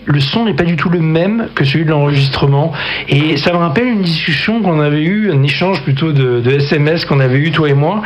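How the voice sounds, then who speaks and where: A talkative man hosts a relaxed radio chat, his voice sitting a little high for a man. He asks long, winding questions and laughs easily. A faint hiss runs under the recording. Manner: chatty, laughs often, long rambling questions. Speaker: radio show host. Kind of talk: casual radio interview.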